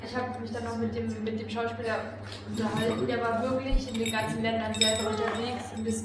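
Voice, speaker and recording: A young woman on a youth jury, recounting a play in a flat, telling way. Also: fairly high pitch, fairly quick. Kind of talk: casual spoken review. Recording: distant, echoey, loud noise.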